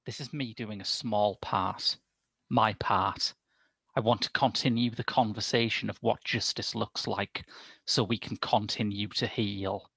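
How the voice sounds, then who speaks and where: A man's voice: on the low side, fairly even intonation, a little slow, gravelly, fairly bright tone, slightly rough, no echo. A man in his thirties hosting a film review podcast with a dry, wry, wordy delivery on a headset mic. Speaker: podcast host. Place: small domestic room, indoors.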